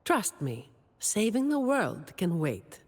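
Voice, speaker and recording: A woman playing a weary, dutiful young office employee in a video game, delivering scripted monologue in a dry, deadpan way. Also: medium pitch, takes it slowly, long reverb tail. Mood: weary, sincere.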